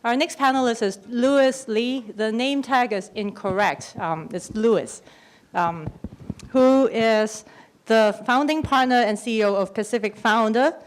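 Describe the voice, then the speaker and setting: A woman's voice: a little high, a little slow. A woman moderating a conference panel, introducing each speaker with a playful tone and frequent ums. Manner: bright, playful, with fillers. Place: conference room.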